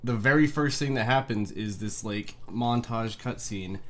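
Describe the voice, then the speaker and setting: A young man co-hosting a podcast, telling a story in an animated way and quoting himself. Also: mid-range voice, unhurried. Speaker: podcast co-host. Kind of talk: casual chat.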